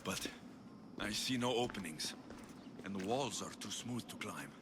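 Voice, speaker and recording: An actor playing a calm, determined warrior hero in a video game cutscene, speaking in a steady, measured way. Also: male voice, fairly low pitch, very noisy. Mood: resolute. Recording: game cutscene with loud score behind.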